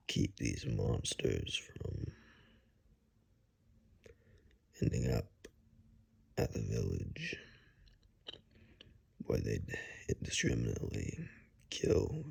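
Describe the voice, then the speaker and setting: A man playing a gruff, wry forest ranger and monster hunter in an ASMR roleplay, speaking in a hushed, halting way with dry humor. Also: exaggerated voice. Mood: wry, relaxed. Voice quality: very deep, gravelly, husky. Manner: slow, halting, hushed, dryly joking. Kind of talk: ASMR roleplay, one-sided conversation.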